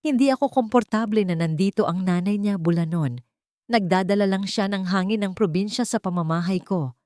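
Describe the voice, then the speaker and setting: A woman voicing a haughty, cold mother-in-law in a story, measured and full of contempt. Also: medium pitch, darkish tone, no echo, clean studio sound, lightly acted. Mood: quiet resentment.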